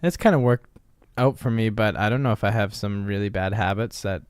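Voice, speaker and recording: A man co-hosting a podcast, talking in a relaxed, meandering way that often trails off. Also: fairly low pitch, brisk, clear, resonant tone, no room sound, clean studio sound. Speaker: podcast co-host. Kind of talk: casual chat.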